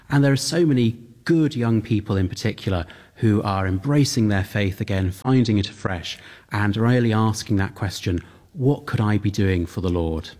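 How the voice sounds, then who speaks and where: Low-voiced Welsh-born priest explaining earnestly. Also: male voice. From Wales. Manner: earnest, gently humorous, explanatory. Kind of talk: interview answers.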